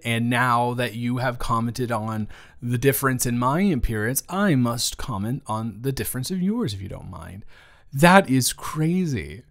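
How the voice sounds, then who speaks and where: A man playing a flustered, caring young best friend in an improvised romantic audio roleplay, talking haltingly and teasingly. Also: medium pitch. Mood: sheepish, playful, then flustered, admiring. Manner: hesitant, halting, conversational, teasing.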